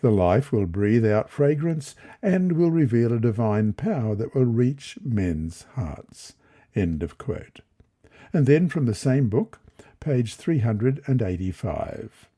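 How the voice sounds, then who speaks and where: Devotional reader: man, very mellow and relaxed, husky edge, deliberate delivery. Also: mid-range voice. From Australia. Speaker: lesson reader and presenter. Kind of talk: scripted devotional narration, reading aloud.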